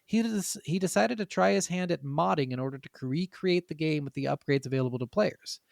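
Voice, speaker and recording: A man's voice: a little high, fairly quick, gravelly, a little mellow, no room sound, studio quality. A man co-hosting a casual gaming podcast, talking informally and swearing freely. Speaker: podcast co-host.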